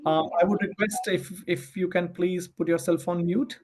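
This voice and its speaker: A man with a slightly high voice leads an online webinar as an adviser. He explains things step by step in polite, halting phrases, often restarting as he goes. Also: fairly even intonation, a little mellow. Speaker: immigration adviser hosting a webinar.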